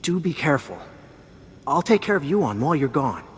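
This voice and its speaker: A man's voice: fairly high pitch, normal speed, husky, big-room sound, full character voice. An actor playing an earnest, concerned male Jedi master who gives advice in a video game. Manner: earnest, expressive.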